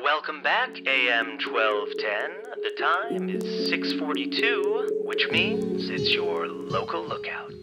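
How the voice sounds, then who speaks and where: A man's voice: a little high, creaky, exaggerated voice, low-quality recording. A man voicing a morning radio host with showy announcer patter. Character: cheesy male morning radio DJ. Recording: radio broadcast with music bed.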